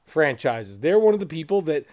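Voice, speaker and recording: A man with a fairly high voice hosts a loose, casual conversation. He teases and jokes crudely, often swelling into loud, emphatic bursts. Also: big pitch swings, moderate pace. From Los Angeles area resident. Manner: joking, teasing, crude banter. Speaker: podcast host. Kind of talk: casual chat.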